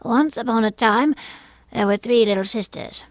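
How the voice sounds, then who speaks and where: A woman voicing a sleepy little male storybook dormouse, hoarse and feeble, telling a muddled tale. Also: fairly high pitch, moderate pace, noisy background, pitched above the performer's own voice, close to an everyday voice. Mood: hurried storytelling.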